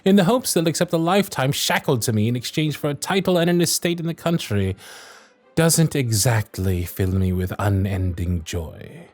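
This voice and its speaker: An actor playing a wry, honourable young Victorian lord, charming and a little vain, speaking formal, courtly in a romantic audio roleplay. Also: male voice, medium pitch, animated, moderate pace, a little mellow, close to an everyday voice. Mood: exasperated. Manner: formal, courtly, old-fashioned diction.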